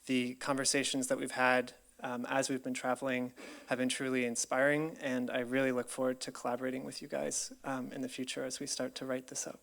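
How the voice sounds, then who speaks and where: A man's voice: mid-range voice, completely flat delivery, a little fast, gravelly, fairly bright tone. A young man from Colorado with an American accent, giving an earnest, grateful public presentation with frequent ums. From United States, Colorado. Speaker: PhD researcher presenting.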